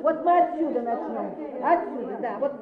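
Old village woman, high voice, greedy, grumbling, bossy, radio play, dull roomy recording. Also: animated, normal speed, crowd noise. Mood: bossy, calculating.

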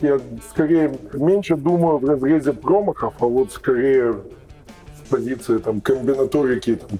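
Mellow, slightly strained man answering hesitantly. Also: mid-range voice, breathy edge. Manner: hesitant, hedging, roundabout reflective answers. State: voice strained after losing it the day before. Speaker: podcast guest, professional trainer. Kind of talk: reflective interview talk.

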